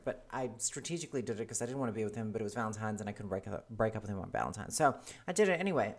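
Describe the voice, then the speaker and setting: A woman in her forties, originally from South Africa, talking casually to camera in a hesitant, earnest way, with many ums and restarts. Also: rumbling low. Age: 40s. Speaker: vlogger. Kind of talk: casual personal monologue to camera.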